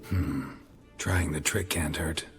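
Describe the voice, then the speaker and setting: Stoic male game monster hunter; extremely low, gravelly, deadpan delivery, music underneath. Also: takes it slowly, full character voice. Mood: dry, resolved. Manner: terse, dry, deadpan. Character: stoic, weary male monster-hunting witcher, dry and guarded. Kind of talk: video game voice acting.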